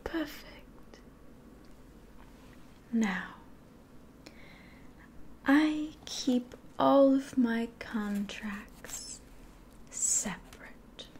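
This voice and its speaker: Young woman doing a sly demon lady in asmr roleplay, breathy coaxing whisper, super slow and soft, mellow tone. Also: fairly high pitch. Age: 20s.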